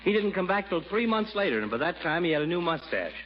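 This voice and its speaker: An actor playing a folksy, easygoing small-town barber in a 1940s radio comedy. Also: male voice, high voice, very animated, quick pace. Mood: storytelling. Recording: muffled old radio recording, faint noise.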